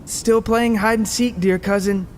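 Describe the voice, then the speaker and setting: A man's voice: high voice, fairly even intonation, a little slow, a little hiss or hum, voice pushed up. A man voicing a plucky, proper British woman with old-fashioned exclamations. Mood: fond teasing.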